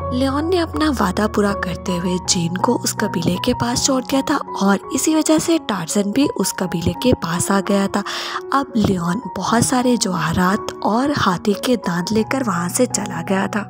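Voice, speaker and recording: A woman's voice: medium pitch, animated, a little slow. Woman narrating a film recap over music. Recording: voice-over with loud background music.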